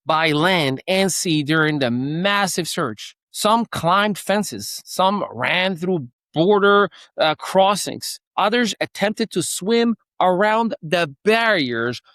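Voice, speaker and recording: A man's voice: high-pitched, animated, a little slow, a bit bright, no echo. A man born in Morocco hosting a news show, giving an earnest, opinionated commentary with occasional restarts and fillers. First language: Moroccan Arabic.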